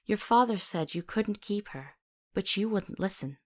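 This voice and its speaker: A woman's voice: mid-range voice, animated, normal speed, natural voice. A woman playing a compassionate young doctor in a visual novel, talking tenderly and coaxingly as if telling a soothing story.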